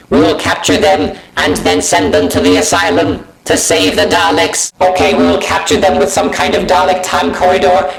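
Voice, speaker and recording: A man voicing a bossy, exasperated alien war machine in a toy parody, with a croaking voice and commanding, staccato delivery. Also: a little high, exaggerated voice. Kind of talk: fan parody voice acting. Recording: echoing room sound.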